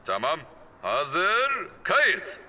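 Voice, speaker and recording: A man voicing an excitable, exasperated film director in a children's cartoon dub, calling out short commands. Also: on the high side, very slow, very noisy, full character voice. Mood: commanding. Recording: music behind the voice.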